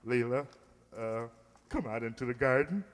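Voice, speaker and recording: A man's voice: medium pitch, lively intonation, moderate pace, big-room sound, lightly acted. A man playing a pompous, bashful, flustered middle-aged suitor in old-time radio comedy style, blustery and hesitant. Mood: eager.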